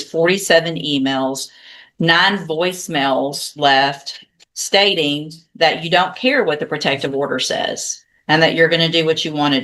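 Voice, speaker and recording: Woman judge in court, firm and deliberate, laying down a ruling. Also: sits low, a bit bright.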